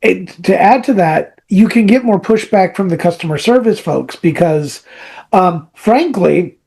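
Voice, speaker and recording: A podcast host in casual, analytical conversation, thinking aloud in halting phrases that often repeat. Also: medium pitch, warm tone.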